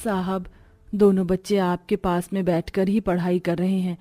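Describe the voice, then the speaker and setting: A woman playing a kind, dutiful poor mother and housemaid in a cartoon story, speaking gently and instructively. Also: on the low side, little pitch movement, normal speed, no echo, close to an everyday voice. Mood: concerned, respectful.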